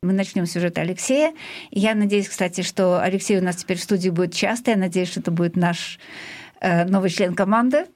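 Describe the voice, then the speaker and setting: A woman's voice: fairly low pitch, fairly even intonation, brisk, a little mellow. A woman hosting a community radio programme from a studio, introducing its segments. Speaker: radio host.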